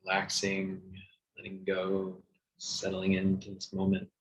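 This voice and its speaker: A man leading a guided meditation in a soothing, gentle manner with long pauses. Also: low-pitched, monotone, dull audio. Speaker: meditation teacher.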